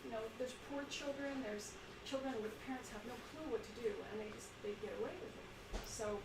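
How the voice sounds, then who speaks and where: Quiet-voiced woman in an echoey room. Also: on the high side. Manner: halting, many fillers and restarts. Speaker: meeting presenter. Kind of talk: casual group discussion. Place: meeting room with a small group. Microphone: shared room mic for a video stream. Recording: echoey room, background noise.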